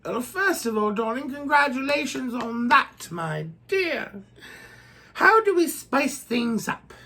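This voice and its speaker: A woman's voice: high-pitched, sing-song, takes it slowly, gravelly, pushed and bright, exaggerated voice. A woman playing a grand, bawdy English duchess with a posh accent, theatrically answering fan letters in a comic monologue full of affectionate endearments. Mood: cheeky, advising. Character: posh, bawdy, self-adoring English duchess, middle-aged or older woman. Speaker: host.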